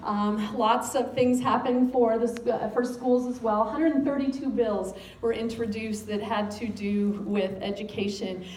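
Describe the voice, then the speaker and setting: A woman's voice: fairly high pitch, fairly even intonation, unhurried, hardly any pauses. An American woman, a school superintendent, giving a public update to a civic gathering with many ums. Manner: frequent ums, speaks of having a loud voice. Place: meeting room. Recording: echoey room, distant mic, faint noise.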